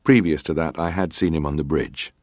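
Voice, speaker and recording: Deep-voiced, formal ship's officer. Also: male voice, darkish tone, dry recording, clean studio sound. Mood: guarded, composed. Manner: measured, clipped, formally deferential answers. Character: formal ship's officer testifying, guarded and terse. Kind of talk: dramatised reading of hearing testimony.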